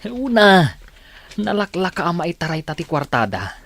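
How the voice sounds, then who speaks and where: An actor playing a sly, scheming petty thief in an Ilocano radio drama. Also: male voice, high-pitched, very animated, normal speed, fairly bright tone, dry recording, exaggerated voice. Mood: eager, sly. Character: scheming petty thief who preys on churchgoers.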